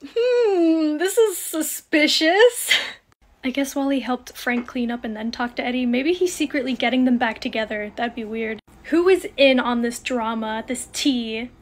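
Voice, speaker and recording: High-voiced, playful young woman. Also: unhurried. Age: young adult. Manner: playful, dramatic exclamations. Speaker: content creator. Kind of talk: casual reacting to a character filter game. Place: home kitchen.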